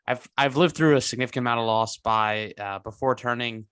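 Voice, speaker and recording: Bright, clear-voiced young man. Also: medium pitch, no room sound, studio quality. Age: 20s. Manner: earnest, halting with many restarts and fillers. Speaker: guest entrepreneur. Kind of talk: interview.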